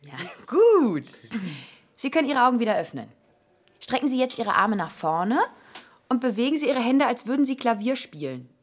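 An actress playing a brisk, no-nonsense emergency doctor in a radio drama, giving direct instructions in a husky voice. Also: female voice, on the high side, very animated. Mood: instructing.

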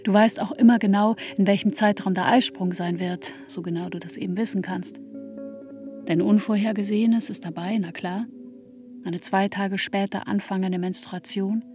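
Woman acting longing introspective woman, hushed, mellow, measured, literary radio drama, faint music behind. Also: mid-range voice, fairly even intonation, close to an everyday voice. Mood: pensive, restless. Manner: measured, hushed, addressing the listener as "you". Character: woman longing for a child, introspective, anxiously counting days.

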